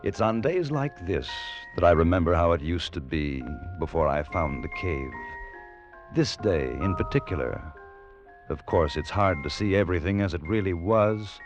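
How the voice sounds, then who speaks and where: An actor playing a grown man nostalgically recalling his boyhood, narrating a 1940s radio drama in a measured, reflective way. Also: low voice, expressive. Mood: wistful. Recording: muffled old-time radio recording. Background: music.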